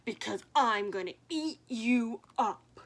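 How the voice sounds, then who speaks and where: A woman's voice: a little high, animated, takes it slowly, exaggerated voice. A woman doing a roaring ugly troll. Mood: roaring menace. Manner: roaring, growling. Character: big ugly mean male troll under a bridge.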